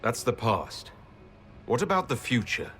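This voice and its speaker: A man voicing a serious, thoughtful male elven leader in a video game, with an earnest, deliberate, probing manner. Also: mid-range voice, sing-song, fairly bright tone, soft background music. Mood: questioning, concerned. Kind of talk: dramatic video game dialogue.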